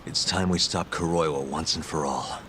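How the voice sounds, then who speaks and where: An actor playing a determined, weary young detective and lawyer in a video game drama, terse and resolute. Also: male voice, very deep voice, steady tone, normal speed, a little hiss or hum. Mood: grim. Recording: loud dramatic music behind.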